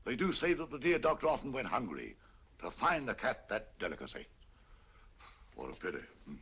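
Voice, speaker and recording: An actor playing a pompous, chatty museum tour guide in 1940s film style, theatrical and sing-song. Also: male voice, medium pitch, huge pitch swings, moderate pace, a bit bright, breathy edge, full character voice. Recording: dull lo-fi 1940s film soundtrack with faint room noise.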